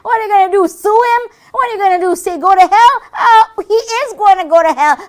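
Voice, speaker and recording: A woman's voice: very high-pitched, a little fast, voice pushed up. A woman voicing a cheeky, boastful devil puppet with a brash, wisecracking manner in a comic educational puppet show. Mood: loud, exasperated.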